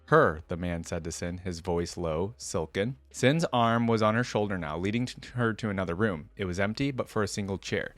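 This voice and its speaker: A man with a fairly low voice reads fiction aloud as a video host. He moves between expressive narration and casual commentary, in a very dry recording. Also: brisk, few pauses, dry recording. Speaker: reaction video host reading a story aloud. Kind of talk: expressive story reading with casual asides.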